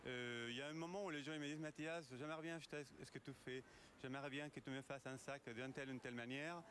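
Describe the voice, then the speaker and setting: A man from Argentina with a mixed Italian and Spanish accent, answering an interview about his work in halting phrases. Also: medium pitch, fairly even intonation, a bit bright. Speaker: leather goods designer being interviewed. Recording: noisy on-location event sound.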